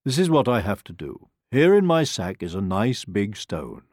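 A man voicing a sly, courteous, unhurried big brown wolf in a storybook reading. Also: fairly low pitch, sing-song, a little mellow, no room sound, studio quality. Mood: coaxing. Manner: polite, murmuring, deliberate. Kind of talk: storybook character voice.

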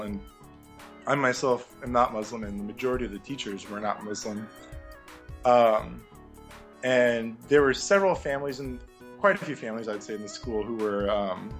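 A young man talks about his years of teaching music abroad, speaking casually and thoughtfully. His pitch sits in a typical middle range, and he leans on ums and you-knows as he gathers his thoughts. Faint music plays quietly behind him. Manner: reflective, many fillers and restarts, laughs lightly. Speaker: interview guest, former music teacher. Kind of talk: casual conversational interview.

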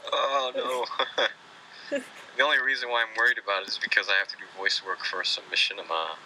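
A man's voice: on the low side, fairly quick, a little hiss or hum, poor audio. A man co-hosting a casual podcast, telling stories with a lot of laughter. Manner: laughing, animated storytelling. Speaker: podcast co-host. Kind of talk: casual chat.

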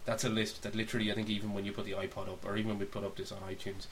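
A man's voice: lowish voice, little pitch movement, rapid-fire. A man co-hosting a casual podcast, rambling along with dry, self-deprecating, sweary humour. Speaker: podcast co-host. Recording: hissy amateur podcast recording.

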